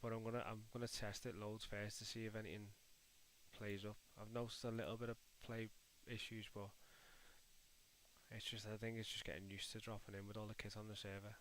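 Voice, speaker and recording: Scouse male streamer, low and even, laid-back chat to viewers, calls everyone mate. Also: brisk, slight background noise, low-quality recording. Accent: Liverpool (Scouse). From Liverpool, England. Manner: chatty, calls viewers mate and lad, mutters to himself while working. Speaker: livestream host. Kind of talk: casual live-stream chat while building in a game editor.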